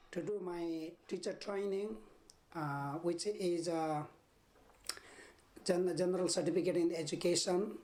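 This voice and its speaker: A man in his fifties from Nepal with a Nepali accent, giving an oral history interview in a measured, halting way. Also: high voice, darkish tone. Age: 50s. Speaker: interviewee, army veteran. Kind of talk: oral history interview, recounting own life.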